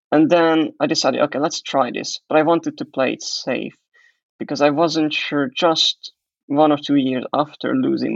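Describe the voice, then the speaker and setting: A man's voice: fairly high pitch, creaky, dry recording, dull audio. A young man from Hungary, a guest in a casual interview, recalling his past in a reflective way. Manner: reflective, restarts and fillers as he recalls.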